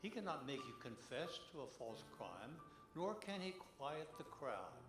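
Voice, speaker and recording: Male devotional reader, earnest and deliberate, widely varied intonation, reverberant room with music underneath. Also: a little high, big pitch swings. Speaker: reader at a church Good Friday service. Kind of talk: dramatic devotional reading, rhetorical questions. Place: large church sanctuary. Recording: echoey church, music behind.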